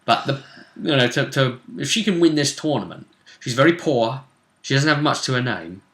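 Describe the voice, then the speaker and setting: A man hosting a casual chat about a tabletop role-play game, telling it with emphatic, drawn-out phrasing. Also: medium pitch, lively intonation, a bit bright. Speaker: host. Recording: roomy, echoey room sound.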